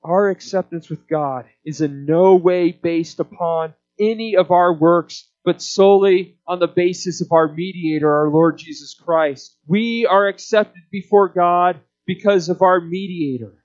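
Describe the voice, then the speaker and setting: A man preaching a sermon in an emphatic, deliberate expository manner, repeating key points, on a dull band-limited recording. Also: sits high, faint music behind.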